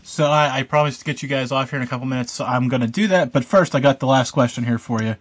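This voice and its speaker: A man hosting an interview, briskly steering toward the close. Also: mid-range voice. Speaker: podcast host.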